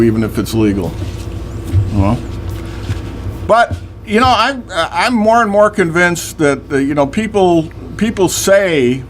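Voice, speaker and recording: An older man hosting a local radio talk show, a little hoarse, stammering with dry wry humor. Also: mid-range voice, slight background noise.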